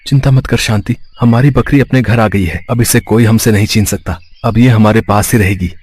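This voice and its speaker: A man voicing a kind, poor village husband, gentle, earnest and trusting, in a moral story. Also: on the low side, steady tone, moderate pace, breathy edge. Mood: reassuring.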